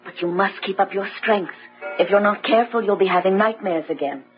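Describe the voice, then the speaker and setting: Actress as a caring, proper sister-in-law in old radio play, medium pitch, muffled sound, music behind. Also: female voice, fairly quick, close to an everyday voice. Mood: soothing, firm. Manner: gentle but firm, proper. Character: composed, solicitous, restraining sister-in-law. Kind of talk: old-time radio drama acting. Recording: dull vintage radio recording, music behind.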